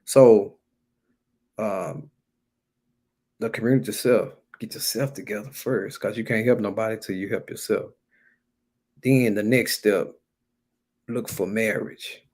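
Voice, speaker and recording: A man's voice: on the low side, fairly even intonation, measured pace, long pauses, a little mellow. An American man preaching in an informal, colloquial way, stressing and repeating key phrases as he exhorts his listeners. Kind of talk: informal sermon, exhorting talk.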